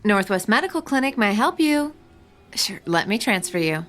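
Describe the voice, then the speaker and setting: An actress playing a matter-of-fact, rueful clinic receptionist in a radio drama. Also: female voice, medium pitch, big pitch swings, normal speed, over music, lightly acted. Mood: polite, businesslike.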